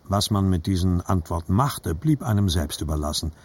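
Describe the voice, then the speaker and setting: An actor playing a reflective adult narrator remembering his boyhood, reading literary narration in a measured, husky voice. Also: low-pitched, animated, darkish tone.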